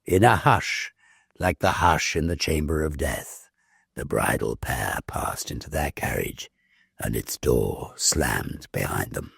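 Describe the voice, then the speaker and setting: A man reading a ghost story as dramatic narration, theatrical, measured and full of suspense. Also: extremely low pitch, lively intonation, creaky, a bit bright, slightly rough, dry recording, clean studio sound.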